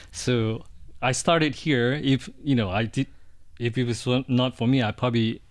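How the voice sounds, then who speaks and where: Man, middle-aged, from Indonesia, Buddhist monk, interview guest, soft and halting, mid pitch. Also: steady tone. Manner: hesitant, soft-spoken, with frequent restarts and small laughs. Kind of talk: casual interview answers.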